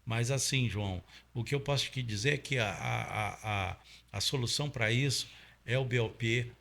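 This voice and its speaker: A man's voice: mid-range voice, moderate pace, vocal fry, fairly bright tone. A middle-aged man from Pará with a Paraense accent, a guest in an interview, explaining step by step with many fillers and tag questions. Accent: Paraense Brazilian Portuguese. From Pará, Brazil. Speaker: guest, oil industry safety trainer.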